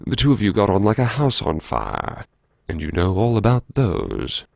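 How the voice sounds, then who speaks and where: Gravelly, low, brooding man acting a wry academic. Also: wildly swooping pitch, no room sound, studio quality, heavily acted. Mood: self-reproachful, musing. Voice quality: gravelly, creaky. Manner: sardonic, musing, self-reproachful, with sighs and pauses. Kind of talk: first-person audiobook monologue, intimate dramatic narration.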